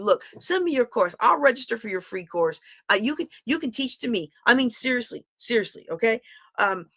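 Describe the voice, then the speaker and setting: A woman's voice: on the high side, bright, forceful tone. A middle-aged woman coach giving a candid live-streamed motivational talk, repeating phrases and asking her viewers questions. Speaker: life coach.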